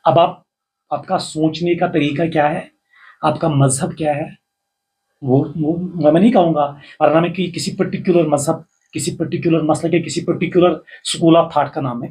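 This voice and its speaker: An older man with a Kashmiri accent speaks in a slightly higher voice. His delivery is formal and measured, and he draws out the lines he stresses. He sounds like a writer giving earnest advice as a guest. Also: darkish tone. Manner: formal, measured, slow recitation with rising emphasis. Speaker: poet and writer, interview guest. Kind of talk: reciting verse, then earnest advice.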